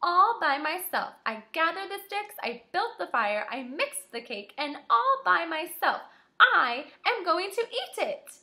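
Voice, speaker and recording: A woman's voice: very high voice, sing-song, measured pace, sharp tone, pitched above the performer's own voice, full character voice. A woman voicing an indignant, proud, hardworking little hen in a children's storybook, declaiming emphatically. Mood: proud, defiant. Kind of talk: children's storybook read-aloud.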